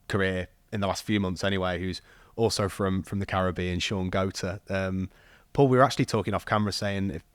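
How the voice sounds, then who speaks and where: A man hosting a podcast, asking questions in a measured, polite way. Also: sits low, steady tone, no room sound. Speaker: podcast host. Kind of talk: podcast interview.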